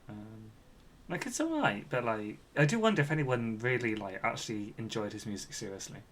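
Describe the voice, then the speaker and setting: A man's voice: on the low side, animated, brisk, fairly bright tone, soft background music. A man co-hosting a podcast, chatting casually and reacting in a hesitant, understated way. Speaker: podcast co-host.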